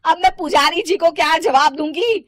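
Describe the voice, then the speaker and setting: A woman's voice: extremely high pitch, moderate pace, no echo, clean studio sound, pitched above the performer's own voice. A woman voicing an irritable, demanding mother of a grown son in a cartoon moral story, scolding and indignant. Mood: irritated scolding.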